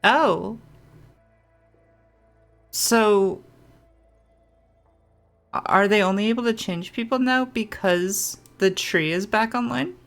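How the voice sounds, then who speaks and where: Female streamer, casual game commentary, musing, unhurried pace, average pitch. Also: faint music behind. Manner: musing, thinking aloud. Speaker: gaming streamer.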